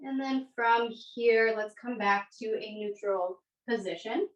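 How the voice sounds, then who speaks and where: A woman leading a yoga class as the instructor, giving gentle, unhurried cues with pauses between them. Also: a little high, lo-fi sound. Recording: echoey room, distant microphone.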